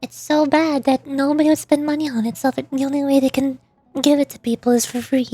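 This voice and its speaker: A woman's voice: high-pitched, flat delivery, fairly quick, warm tone, no room sound, faint music behind. A tired, sleepy woman chatting casually and rambling on a livestream as a VTuber. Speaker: VTuber streamer and amateur voice actor.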